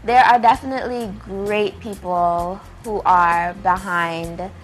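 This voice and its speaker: A woman's voice: medium pitch, fairly even intonation, slow. Woman being interviewed, earnest and chatty, explains stuff, bit of music behind her. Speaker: guest, playwright and director. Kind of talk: conversational interview answers.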